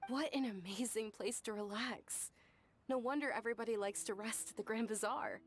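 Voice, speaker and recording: A woman's voice: on the high side, moderate pace, slight background noise, natural voice. A woman playing a sweet, polite, cheerful young lady in a video game, with a gushing manner. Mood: delighted. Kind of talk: video game character dialogue.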